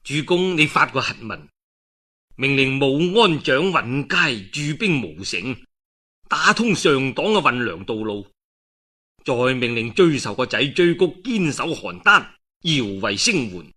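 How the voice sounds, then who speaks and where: A man's voice: medium pitch, sing-song, measured pace, bright, forceful tone, breathy edge, heavily acted. A man voicing a scheming male advisor who counsels his lord in a deferential, urging manner, as part of a told story.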